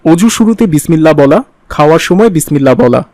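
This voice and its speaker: Cartoon father, played by a man: high voice, stern yet teaching, dry recording. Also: animated, fairly quick, darkish tone, no echo. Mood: instructive. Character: stern but instructive father explaining religious manners. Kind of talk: didactic cartoon dialogue.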